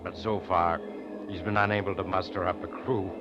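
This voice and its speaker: An actor playing an eerie mysterious traveler, telling macabre tales with a wry, insinuating manner as a 1940s radio horror host. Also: male voice, flat delivery, moderate pace, music in the background, close to an everyday voice. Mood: sly, macabre. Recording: dull, very noisy radio, train rumble behind.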